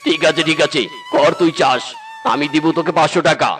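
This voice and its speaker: Grumpy high-voiced tiger uncle played by a man. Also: fairly even intonation, brisk, fairly bright tone, faint music behind, close to an everyday voice. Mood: weary, agreeing. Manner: gruff, exclamatory, threatening. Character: grumpy adult male tiger uncle, a farmer. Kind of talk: animated cartoon dialogue.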